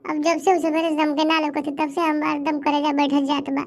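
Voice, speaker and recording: A woman's voice: high-pitched, level tone. A woman voicing a doting, dramatic village housewife in a comedy cartoon, with a Bhojpuri accent and a plaintive, lamenting manner. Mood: tearful. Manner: melodramatic, wailing, drawn-out lamenting. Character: dramatic, doting village housewife grieving her lost buffalo.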